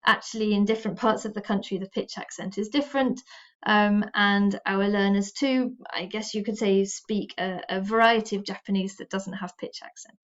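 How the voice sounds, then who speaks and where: A woman from the United Kingdom, a university lecturer explaining her research in a measured, explanatory way. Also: medium pitch, steady tone. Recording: slightly dull recording.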